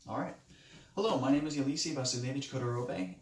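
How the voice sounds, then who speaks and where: A man in his late twenties, from Moldova with Romanian as his first language, giving a halting self-introduction speech for a class, with many restarts. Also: medium pitch, reverberant. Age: 20s. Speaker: student.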